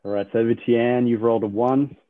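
An upbeat male podcast host walking his guests through a board game and its questions. His voice sits at an ordinary pitch and comes through a thin, phone-like line. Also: steady tone, very fast. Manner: upbeat, explains rules. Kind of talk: hosting a game-show style podcast.

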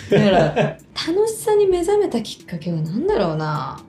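A woman with a Kansai accent in casual conversation as a co-host, telling stories in an animated way. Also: medium pitch, moderate pace, echoey room, a little hiss or hum. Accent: Kansai Japanese. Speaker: co-host of a chat show; stage actress. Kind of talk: casual chat.

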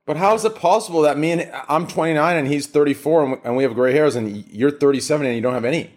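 A man in his late twenties hosting a casual podcast, animated and swearing, full of filler words. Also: on the high side, fast talker. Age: 20s. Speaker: podcast host.

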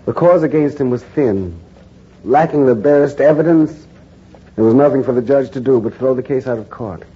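An actor playing a reporter who narrates his own ordeal in measured, grave first person, in a 1940s radio drama. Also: medium pitch, mellow, breathy edge, natural voice. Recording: dull 1940s radio broadcast recording with music.

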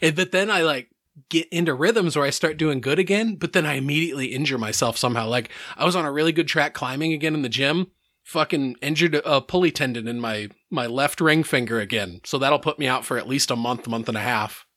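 A man's voice: a little high, brisk, fairly bright tone, no room sound, clean studio sound. A man in his early forties talking candidly on a podcast, swearing freely and laughing often. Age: 40s. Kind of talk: casual chat.

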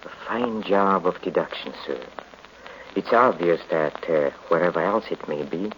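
Very deep courteous radio host. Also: male voice, a little slow, fairly bright tone. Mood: genial. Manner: courteous, chatty. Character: genial, courteous male host of mystery show. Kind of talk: old-time radio hosting. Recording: dull, noisy vintage radio recording.

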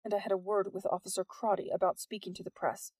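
A woman voicing a stern, quietly dangerous police sergeant and father in an audiobook story. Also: mid-range voice, level tone, quick pace, husky, dry recording, lightly acted. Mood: stern, controlled anger.

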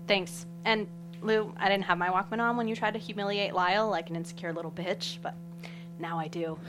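Woman reading a brisk, blunt gym-goer. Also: medium pitch, fairly even intonation, music in the background, lightly acted. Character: kind but blunt female gym-goer. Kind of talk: sitcom script table read.

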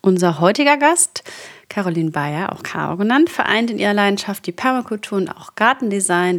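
A woman in a podcast interview, talking over a video call. She chats along warmly and easily, at an ordinary middle pitch. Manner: friendly, chatty, many fillers. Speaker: podcast host.

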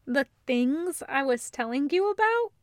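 A woman's voice: sits high, lively intonation, measured pace, no echo, studio quality. Woman voicing an upbeat, rambling guy. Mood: excited. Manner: rambling, casual, hesitant asides. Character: cheerful, eager, casual man bringing snack cakes.

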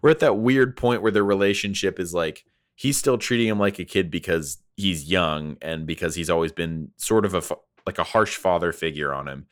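A man's voice: low-pitched, moderate pace, no echo, studio quality. A man co-hosting a casual, jokey review chat, often acting out imagined lines as he talks. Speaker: podcast co-host.

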